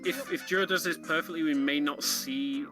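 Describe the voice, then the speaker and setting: A man's voice: fairly high pitch, fairly even intonation, moderate pace. A man giving casual live commentary on a video game, explaining things in a hesitant, chuckly way. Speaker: speedrun commentator. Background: game music behind the voice.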